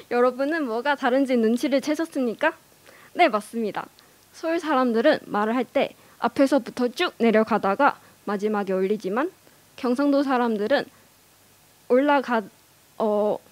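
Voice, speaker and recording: A woman's voice: fairly high pitch, no echo. A young woman giving a prepared speech in a learned language, careful and earnest, with small hesitations. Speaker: speech contestant.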